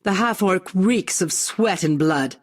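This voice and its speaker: A woman's voice: fairly low pitch, animated, slow pace. An actress playing a spoiled, luxury-loving female adventurer in a fantasy game, haughty and complaining. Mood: disdainful. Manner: disdainful, complaining.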